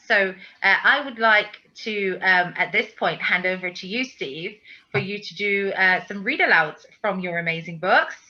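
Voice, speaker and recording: An enthusiastic woman hosting an author interview, with a very bright, sharp voice in an ordinary middle register. The sound is muffled and lo-fi, as if over a video call. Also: mid-range voice, normal speed. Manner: enthusiastic, encouraging. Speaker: host. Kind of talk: interviewer introducing a read-aloud.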